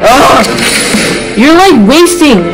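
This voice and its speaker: A woman's voice: sits high, very animated, slow, rough recording. A woman from Ohio giving rambling, casual commentary while playing a mobile game, often exasperated with it, recorded on a webcam in a small room. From Ohio, USA. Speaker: gaming channel host. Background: loud game music.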